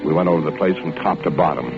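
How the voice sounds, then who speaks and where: A dull vintage radio recording with music carries an anxious American husband in a suspense drama. His voice sits low, and he speaks in a halting, intense, insistent way, like a 1940s radio lead. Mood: tense recounting. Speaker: actor and narrator.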